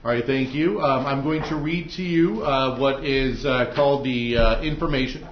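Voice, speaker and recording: A man with an American accent speaking as a judge, formally addressing jurors with halting hesitations. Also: mid-range voice, a little hiss or hum. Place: indoor courtroom. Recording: echoey courtroom, dull muffled audio.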